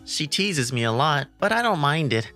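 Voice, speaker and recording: Woman voicing a timid, flustered boy, bright and expressive. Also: deep voice, moderate pace, full character voice. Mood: wistful narration. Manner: stammering, easily flustered. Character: timid, flustered, kindhearted teenage boy narrating his own story. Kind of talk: manga comic dub with first-person narration. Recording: background music under the voice.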